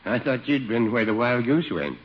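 A mild small-town shopkeeper played by a man, dithering and droll in a folksy way, his mid-pitched voice sliding up and down. It comes through a noisy old radio comedy recording. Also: normal speed, highs cut off, lightly acted. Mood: chipper, droll. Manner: folksy, deadpan. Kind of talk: 1950s radio sitcom acting.

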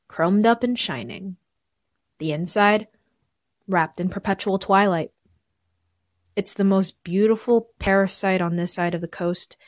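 An actress plays a world-weary woman narrating a cyberpunk audio drama. Her voice sits on the low side and is recorded close and dry. She tells the city's story with a wry, cynical edge. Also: no room sound, lightly acted.

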